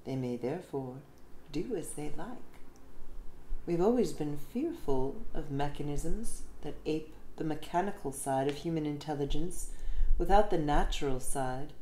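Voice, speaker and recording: A woman reading an essay aloud in a measured, expressive way, with deliberate pauses. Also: low voice. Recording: roomy echo with faint background noise.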